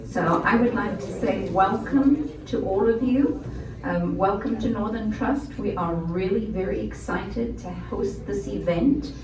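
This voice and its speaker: A woman's voice: mid-range voice, measured pace. A woman from Africa, welcoming an audience and hosting an event in a chatty, self-joking way. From South Africa. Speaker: event host. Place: office event room. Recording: roomy, distant, noisy room.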